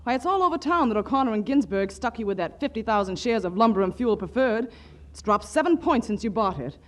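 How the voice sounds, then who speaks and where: An actress playing a loving but scolding young sweetheart, earnest, in 1940s radio comedy style. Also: female voice, on the high side, expressive, fairly quick, lightly acted. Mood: scolding, pleading. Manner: earnest, reproachful.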